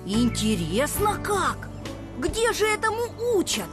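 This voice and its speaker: An excitable little boy alarm clock in a kids' cartoon, with a very high, squeaky voice that swoops widely. He is naive and curious, exclaims often and stretches out his words slowly. Music plays behind him. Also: heavily acted. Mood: amazed, excited. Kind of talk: children's cartoon voice acting.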